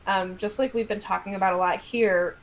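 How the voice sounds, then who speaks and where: An American woman chatting casually as a guest author, telling stories in an animated, self-deprecating way with some swearing. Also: medium pitch, brisk. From United States. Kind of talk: casual livestream chat.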